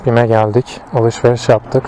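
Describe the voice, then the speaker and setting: A man's voice: mid-range voice, level tone, unhurried. A man riding a motorcycle and chatting casually through his helmet intercom, rambling as he thinks aloud. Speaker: motovlogger. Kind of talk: casual running commentary while riding. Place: on a motorcycle on mountain roads. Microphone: helmet intercom with camera. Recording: muffled helmet mic, loud wind noise.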